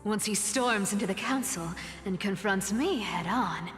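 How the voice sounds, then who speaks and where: An actress voicing a sly, playful young demigoddess of trickery in a game, with a taunting, expressive delivery. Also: female voice, mid-range voice, measured pace, lightly acted. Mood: scheming, confident. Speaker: voice actor in a game. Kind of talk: dramatic game dialogue. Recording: reverberant hall with music underneath.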